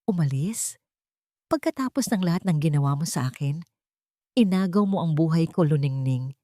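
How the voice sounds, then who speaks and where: A woman's voice: sits low, animated, moderate pace, dark tone, no room sound, studio quality. Woman reading as a bitter, scornful Filipino villain. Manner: confrontational, scornful. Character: bitter, angry, taunting adult villain. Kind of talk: dramatic storybook dialogue.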